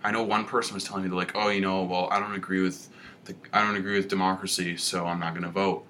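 A young man hosting a casual podcast chat, telling rambling stories in a relaxed way. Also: low voice, fast, slight background noise. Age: young adult.

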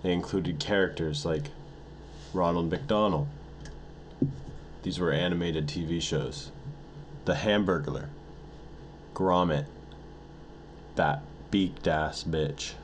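A man's voice: low-pitched, slow, long pauses, slight background noise. A man in his twenties co-hosting a podcast, playful and laid-back in casual chat. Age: 20s. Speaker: podcast co-host.

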